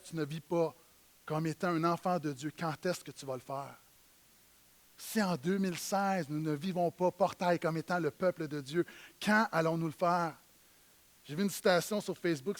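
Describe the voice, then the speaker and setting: A man with a Québécois French accent preaching a sermon in church, emphatic, swinging between loud stresses and quiet low lines. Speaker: pastor.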